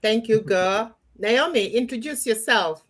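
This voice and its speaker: A woman's voice: fairly high pitch, unhurried, background noise. A woman hosting an online panel livestream, giving announcements and handing over to guests. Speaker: host. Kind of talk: moderating a livestream. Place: remote online livestream call.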